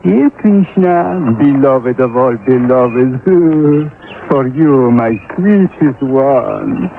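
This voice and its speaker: Fawning foreign sailor, wildly swooping voice. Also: male voice, mid-range voice, animated, very slow, gravelly, exaggerated voice. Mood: doting, mournful. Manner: obsequious, florid, falsely humble. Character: oily, fawning sailor, doting on pet birds. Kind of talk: 1950s radio drama acting. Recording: noisy, tinny old-time radio recording.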